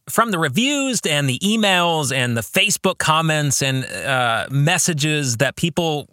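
A man with a slightly high, very expressive voice speaks as an author hosting his own podcast. His delivery is earnest, with deliberate stress on key words. The sound is dry and close, with no room around it. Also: no echo. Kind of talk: personal podcast talk about own writing.

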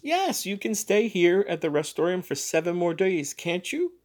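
Male swindler acted by a man reading: oily-smooth, evasive, placating, pitched up, dramatic short story. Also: very animated, normal speed. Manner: smooth, careful, serene salesman's patter.

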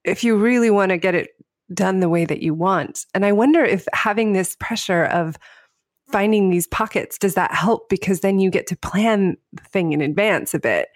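A woman's voice: medium pitch, moderate pace, no echo, studio quality. A woman hosting a podcast interview in a conversational, musing and encouraging way.

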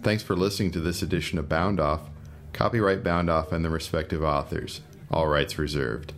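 A man's voice: extremely low pitch, fairly even intonation, moderate pace. A man reading a scripted closing announcement as a podcast announcer.

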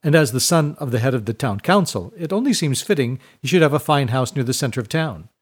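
A man's voice: mid-range voice, animated, quick pace, slightly rough. A man voicing a pompous, oily village council head in an audiobook, fawning and wheedling with little questioning hums. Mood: smug wheedling. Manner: fawning, purring, punctuated with questioning "hmm?".

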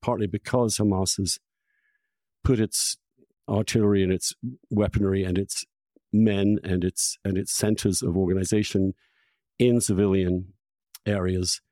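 A man hosting a conversational interview podcast, talking in an effusive, halting way and repeating words for emphasis. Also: low-pitched, little pitch movement, warm tone, dry recording. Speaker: podcast host. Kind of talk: conversational interview with long personal introduction.

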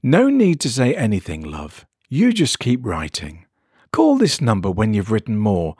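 A man's voice: lowish voice, huge pitch swings, a little slow, darkish tone, breathy edge, no room sound, clean studio sound, exaggerated voice. A man voicing a kindly but insistent elderly woman with a familiar, coaxing manner.

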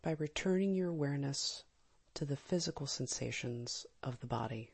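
A woman leading a guided meditation in a calm, gentle, soft and breathy manner, with long pauses. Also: a bass voice. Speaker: meditation teacher. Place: live small group session.

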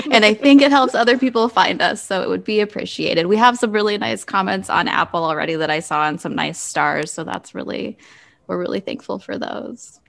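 A woman's voice: medium pitch, vocal fry. A woman hosting a podcast, talking in a casual, conversational style. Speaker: podcast host. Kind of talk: casual chat.

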